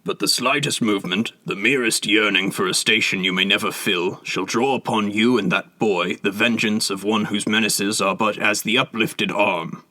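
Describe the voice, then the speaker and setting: Guy putting on a stern menacing nobleman, low voice, sharp edge, super dry sound. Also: steady tone, normal speed, hardly any pauses, no echo, low-quality recording. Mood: coldly menacing. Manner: stern, formal, threatening. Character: cold, menacing male nobleman, adult.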